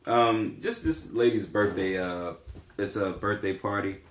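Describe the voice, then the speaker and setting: A man's voice: on the low side, steady tone, measured pace. A man, chatting casually on a podcast, rambling with many fillers and restarts. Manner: rambling, hesitant, lots of fillers. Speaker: podcast co-host. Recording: roomy echo.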